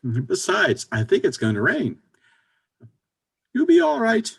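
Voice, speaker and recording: A man's voice: sits high, huge pitch swings, measured pace, vocal fry, husky, full character voice. A man in a comedy reading, playing a preoccupied, matter-of-fact married friend with a dry manner. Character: preoccupied, businesslike married friend, dryly encouraging. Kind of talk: comedy readers theater.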